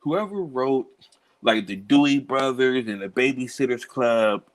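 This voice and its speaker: A man in his thirties from Cincinnati, a children's book author answering interview questions in a relaxed way, laughing easily and filling pauses with "um" and "you know". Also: medium pitch. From Cincinnati, USA.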